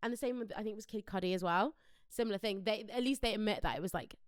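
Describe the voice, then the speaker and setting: A woman's voice: mid-range voice, fast, dry recording, studio quality. A British woman co-hosting a podcast, chatting casually about pop culture in an informal, opinionated way. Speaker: podcast co-host.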